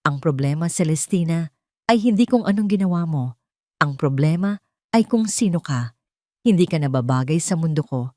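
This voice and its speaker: A woman's voice: low voice, lively intonation, moderate pace, mellow, no room sound, clean studio sound. A woman voicing a cold, contemptuous billionaire husband with cutting, sneering delivery in an audio drama. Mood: cold contempt.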